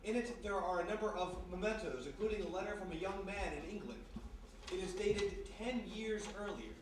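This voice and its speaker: A man acting as the measured, dramatic narrator of a live old-time radio mystery. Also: high-pitched, animated. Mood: melancholy, hushed. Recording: very echoey live hall, distant mic, faint noise.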